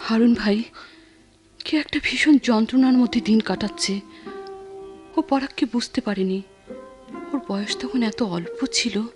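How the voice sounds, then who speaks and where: A rather high-pitched young woman played by an actress pleads in a radio play. She sounds earnest and emotional, with faint music behind. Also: measured pace, long pauses, darkish tone, natural voice. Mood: pleading, sorrowful. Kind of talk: radio drama dialogue.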